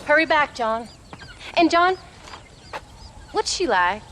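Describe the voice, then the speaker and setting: An actress playing a sharp-tongued, proud frontier wife and mother with an American frontier drawl, in a video game. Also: high voice, big pitch swings, takes it slowly, slight background noise, full character voice. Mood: suspicious, needling. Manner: sassy, teasing. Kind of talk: video game dramatic dialogue.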